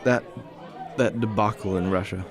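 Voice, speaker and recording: A man's voice: on the low side, steady tone, normal speed, crowd noise, close to an everyday voice. A man playing a weary, haunted soldier in his forties in an audio drama, grave and terse. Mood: shaken, subdued. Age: 40s. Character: weary haunted 40-year-old male ex-soldier in hiding. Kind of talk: audio drama acting and narration.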